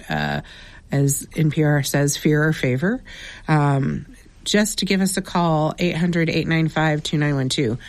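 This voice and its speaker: A woman managing a radio station, making an earnest on-air pledge appeal with many fillers, her voice raspy as she loses it. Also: sits low, dry recording. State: losing her voice.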